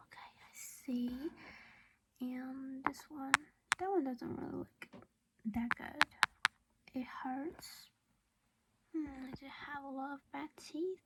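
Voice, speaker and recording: Female ASMR roleplayer as a doting vampire mom: breathy, creaky whisper, highish pitch, gentle reassurance, close mic. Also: heavy background noise. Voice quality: breathy whisper with soft creaky voiced patches. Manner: gentle, reassuring, coaxing as if to a child. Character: doting vampire mother caring for her human child.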